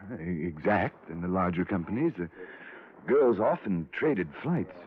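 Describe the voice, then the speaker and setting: An actor playing a flustered, defensive airline manager in a 1950s radio drama, halting and uneasy. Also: male voice, sits low, big pitch swings. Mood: flustered, apologetic. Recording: noisy, phone-like vintage radio recording.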